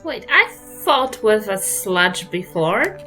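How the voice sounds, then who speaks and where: A woman's voice: medium pitch, big pitch swings, pushed and bright, soft background music. A woman with a New Zealand accent playing a tabletop game, chatting playfully and joking. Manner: playful, quick to joke. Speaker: player. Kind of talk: casual tabletop play.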